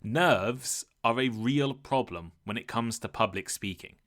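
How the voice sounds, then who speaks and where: Man, podcast host, bright tone, clear measured delivery, scripted teaching talk. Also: medium pitch. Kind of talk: scripted educational monologue.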